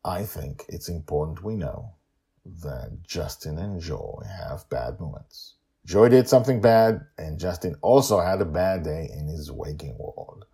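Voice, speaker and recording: A deep-voiced man hosts a story podcast. His voice is husky and his delivery is dramatic, swinging widely in pitch as he stresses his words. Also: warm tone. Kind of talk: expressive scripted story narration and podcast talk.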